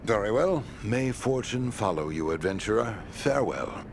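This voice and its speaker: A stern old sage from a fantasy game. The voice is low and formal, each phrase set down deliberately, with clear noise behind it. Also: male voice, expressive. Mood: approving, formal. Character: stern, dignified old wizardly quest-giver. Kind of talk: video game character acting. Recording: clearly noisy game capture.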